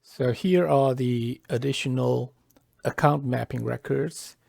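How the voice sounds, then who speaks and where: A man presenting a software tutorial step by step, halting and deliberate, often pausing mid-phrase. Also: medium pitch, vocal fry, no echo, studio quality. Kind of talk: step-by-step tutorial narration, lightly scripted.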